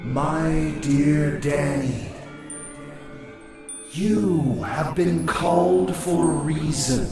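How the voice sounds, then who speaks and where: A man's voice: fairly high pitch, vocal fry, heavily acted. A man playing a solemn, all-knowing angel in a fantasy audio story, his portentous voice treated with echo. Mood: solemn, foreboding. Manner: slow, solemn, portentous delivery. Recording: echoing, distant voice under loud music.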